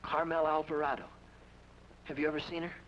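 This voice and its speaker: A man's voice: on the high side, flat delivery, a little fast, a bit bright, close to an everyday voice. A man playing a stammering young drifter. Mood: uneasy. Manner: stammering, hesitant. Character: young American man hunting his outlaw brother. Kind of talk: 1950s radio acting. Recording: dull old radio broadcast with hiss.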